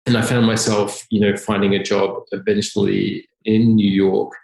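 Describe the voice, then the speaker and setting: A man from Australia, a company founder, talking casually as a podcast guest over a remote call, relaxed and full of filler ums. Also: lowish voice, moderate pace, gravelly. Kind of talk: casual interview talk. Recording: echoey room.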